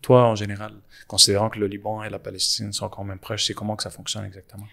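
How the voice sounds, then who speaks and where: A man hosting a podcast, asking halting questions with many restarts and fillers. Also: lowish voice, monotone, vocal fry, fairly bright tone. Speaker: podcast host. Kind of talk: interview questions.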